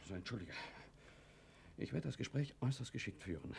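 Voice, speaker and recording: Agitated guilty factory owner, swinging wildly. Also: male voice, mid-range voice, very animated, brisk, gravelly, darkish tone, slightly rough, exaggerated voice. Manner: nervous, blustering. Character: agitated guilty factory owner, former army lieutenant, evasive and domineering. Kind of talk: German radio play acting.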